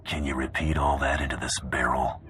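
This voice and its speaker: An actor playing a cocky, ruthless male bounty hunter in a game cutscene, speaking low and half-whispered. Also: level tone, fairly quick, faint music behind. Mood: hushed menace. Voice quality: gravelly, low and hushed.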